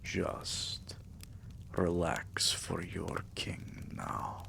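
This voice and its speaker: A man playing a tender, possessive vampire king in an intimate ASMR roleplay, murmuring soothingly and often whispering, with a deep, gravelly voice. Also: monotone, drawn-out words, sharp tone, heavily acted. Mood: hungry, tender.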